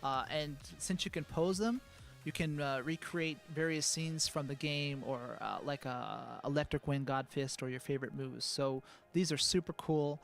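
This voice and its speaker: A man giving a live product presentation as a game producer, speaking in a relaxed way full of "uh"s. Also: normal speed, creaky, faint music behind. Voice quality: calls his own voice high. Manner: relaxed, filler-heavy speech with many "uh"s.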